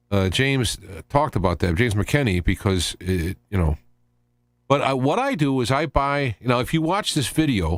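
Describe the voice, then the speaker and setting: A man hosting an informal live radio show, explaining things in a rambling, halting monologue with repeated word starts. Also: lowish voice, dry recording, clean studio sound. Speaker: radio host.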